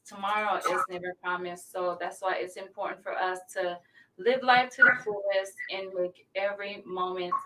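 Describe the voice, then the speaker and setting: A woman from Samoa, a guest in a casual interview chat, who talks in an expressive way and laughs often. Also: mid-range voice. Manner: warm, laughing, expressive storyteller. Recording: distant mic with background noise.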